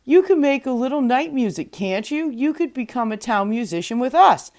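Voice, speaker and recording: A big-hearted storybook donkey, performed by a woman, eager to lead his friends. He speaks up loudly and emphatically, in a hearty, lifted voice. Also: sits high, animated, normal speed, voice pushed up. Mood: inviting. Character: sturdy male donkey, eager friendly ringleader.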